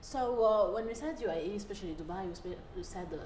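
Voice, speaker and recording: Woman host asking questions kinda halting, echoey room, noisy background. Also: mid-range voice. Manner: hesitant, halting, searching for words. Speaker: interview host. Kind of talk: hosting an interview.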